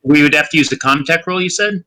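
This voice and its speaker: A man's voice: on the high side, fast, pushed and bright. A man playing a tabletop role-playing game, chatting casually as a player.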